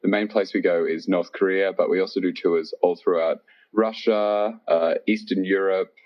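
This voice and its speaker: A man's voice: sits low, normal speed. A young man from Australia working as a tour guide, talking casually in an interview with lots of fillers and "like". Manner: relaxed, chatty, many fillers and "like". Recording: dull lo-fi recording.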